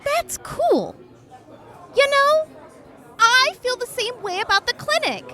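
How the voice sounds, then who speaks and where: An eager young vault medic gushes in a very high, swooping voice, as a woman plays her. She takes her time between bursts of excitement and teasing jabs, in a fan audio drama. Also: slow, a crowd in the background, exaggerated voice. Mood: excited.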